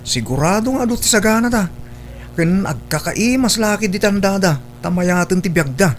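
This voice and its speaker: A man's voice: sits high, animated, normal speed. A man playing an easygoing working husband talking casually to his wife in a family radio drama. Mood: eager. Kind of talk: Ilocano radio-style family drama acting. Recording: faint hum behind the voice.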